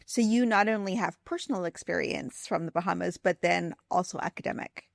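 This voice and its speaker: A woman's voice: fairly low pitch, fairly quick, gravelly, dry recording, studio quality. A woman hosting a podcast and interviewing a guest in a conversational style.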